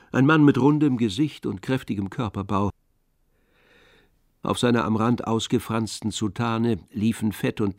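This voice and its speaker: A man narrating a literary radio drama in a measured, composed storytelling manner. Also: on the low side, gravelly, dry recording, clean studio sound.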